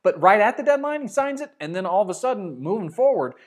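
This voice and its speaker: Man from Arkansas, lively up-and-down pitch, slightly high voice, sarcastic rambling host. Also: fast. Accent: mostly neutral Midwestern. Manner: rambling, sarcastic, trails off and restarts. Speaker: livestream show host. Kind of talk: casual football talk, reading chat comments.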